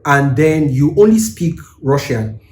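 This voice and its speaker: A man's voice: fairly high pitch, very animated, a little slow, darkish tone, roomy sound. A man from Nigeria, co-hosting and giving earnest, instructive advice to couples. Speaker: co-host. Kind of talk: advice talk.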